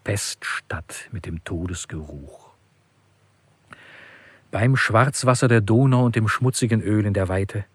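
Weary, solemn radio drama figure: husky, fairly low, deliberate poetic delivery, dry sound. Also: male voice, dry recording. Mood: solemn, lyrical. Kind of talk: poetic recitation in a radio play.

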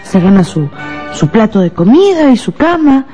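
An actress playing a devoted, protective wife in a radio drama, earnest and gentle. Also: female voice, a little high, very animated, moderate pace, faint music behind. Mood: pleading, protective.

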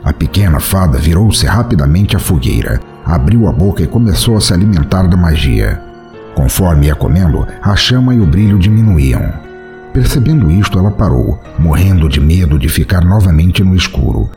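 A man's voice: low voice, animated, normal speed, mellow, soft background music. A man with a Brazilian accent narrating a scripted fantasy story as the storyteller of an audio drama.

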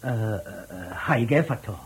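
An actor playing a polite, curious, respectful petitioner in a Cantonese radio drama, with a Hong Kong accent. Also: male voice, mid-range voice, slow pace, breathy edge, rough recording. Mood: respectful, puzzled.

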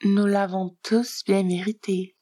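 A woman reading the part of a sly, coy, politely sardonic scheming man in a story, measured and dryly teasing. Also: medium pitch, little pitch movement, warm tone. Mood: dryly sincere.

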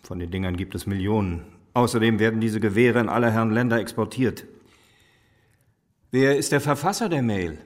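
A man's voice: fairly low pitch, brisk, close to an everyday voice. An actor playing a sardonic, dogged male detective questioning someone in a radio crime drama. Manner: sardonic, interrogating.

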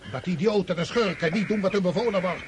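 A man's voice: high voice, fast talker, background noise, natural voice. Man acting an irascible old army commander. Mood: furious. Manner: imperious, scolding. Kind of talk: radio drama acting.

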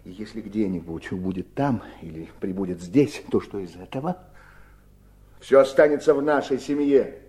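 An actor playing a wily, domineering elder brother in a Soviet radio drama, theatrical, mocking and commanding. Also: male voice, fairly low pitch, normal speed, warm tone, natural voice. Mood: aggrieved, threatening.

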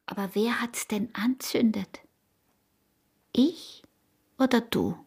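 Peasant wife, Austrian accent, embittered and yearning, husky breathy voice, intense, whisper-prone, radio drama. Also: female voice, a little high, slow pace, a little mellow, heavily acted. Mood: scornful, disgusted. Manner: intense, often dropping into whispers. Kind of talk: radio drama acting in dialect.

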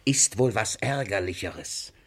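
A husky, lowish-voiced old miser of a father in a classical radio comedy. He is suspicious, irascible and self-righteous, and moves between blustering and muttering to himself with theatrical relish. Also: lively intonation, unhurried. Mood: indignant, accusing. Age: older.

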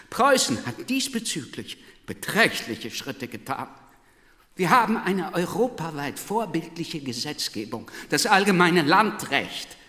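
Servile, fussy male philosopher; high, swooping voice, slow delivery, reverberant hall. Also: bright, forceful tone, heavily acted. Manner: obsequious, stammering, pedantic, flowery phrasing. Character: servile, nervous philosophy professor pleading before a high court. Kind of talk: radio play acting. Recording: large hall with long reverb.

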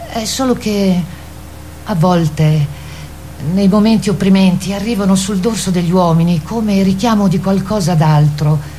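A mellow, dark-toned narrator delivers a scripted theatrical piece. The pitch is moderate. There is a light layer of noise behind the voice. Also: unhurried.

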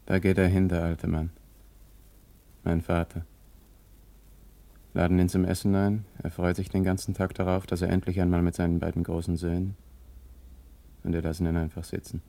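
Dark, gravelly, brooding son. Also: male voice, deep voice, level tone, moderate pace, pauses often. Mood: remorseful. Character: cynical, remorseful grown son watching his failing father. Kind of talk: 1950s German radio drama monologue.

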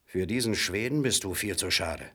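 Radio play villain: low, raspy man, cocky small-time crook, slangy and menacing. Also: expressive, normal speed, exaggerated voice. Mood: pressing, boastful. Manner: slangy, swaggering, swings between hushed coaxing and loud bursts. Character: rough, menacing British male crook, wheedling and cocky. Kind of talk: German radio play acting.

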